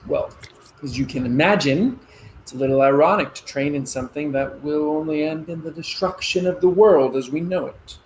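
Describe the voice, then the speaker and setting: A man's voice: fairly high pitch, normal speed, slight background noise, close to an everyday voice, poor audio. A man playing an eager, placating adult son who is a military officer, in naturalistic play dialogue. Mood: eager, proud.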